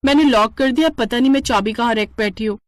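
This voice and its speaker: Woman acting a vain, high-pitched jealous housewife. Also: brisk, no room sound, lightly acted. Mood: evasive. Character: vain, jealous, greedy young daughter-in-law.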